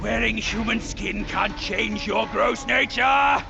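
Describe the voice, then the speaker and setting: Blustering pig demon, high creaky voice. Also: male voice, slow pace, full character voice. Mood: furious. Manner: blustering, mocking, theatrical. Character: pompous, hot-tempered male pig demon warrior, sly and blustering. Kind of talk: video game cutscene acting. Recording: dull sound under loud music.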